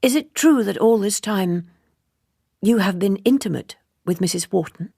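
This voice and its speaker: A woman plays an earnest young woman typist in a literary audiobook. She is urgent and direct, with a husky edge, recorded dry and close. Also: mid-range voice, lively intonation, normal speed, warm tone, dry recording, pitched above the performer's own voice. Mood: anxious, insistent.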